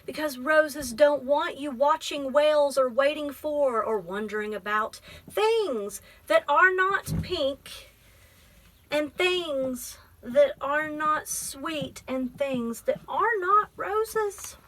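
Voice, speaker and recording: A woman's voice: high voice, expressive, slow pace, a bit bright. A woman library teacher reading a picture book aloud, explaining things simply and prompting with questions.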